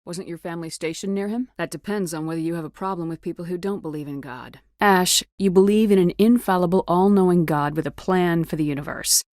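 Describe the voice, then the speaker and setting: Low-voiced, commanding military woman, voiced by an actress. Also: a little fast, few pauses, darkish tone, dry recording, clean studio sound, close to an everyday voice. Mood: emphatic, wry. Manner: firm, clipped, authoritative, dryly wry. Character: tough, commanding female military officer, dry and resolute. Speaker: starship commander in a science fiction game. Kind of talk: video game voice acting, dramatic dialogue.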